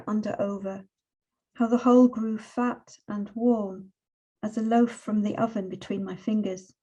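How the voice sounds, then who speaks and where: A mellow, relaxed woman in her sixties presents her poems. Her delivery is measured and expressive, pitched in a middle register. It has the feel of a poetry reading with spoken introductions. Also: dull audio. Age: 60s. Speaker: poet.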